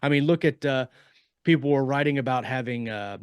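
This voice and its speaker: A man's voice: medium pitch, a little fast, smooth, dry recording. A man speaking casually as a panelist in a podcast discussion.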